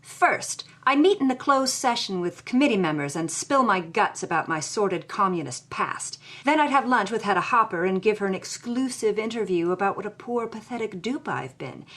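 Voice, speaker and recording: A woman's voice: mid-range voice, expressive, moderate pace, a bit bright. A woman playing a sardonic, troubled 1940s Hollywood writer, reading her journal with theatrical expression. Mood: bitter, distressed. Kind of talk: dramatic first-person reading of journal.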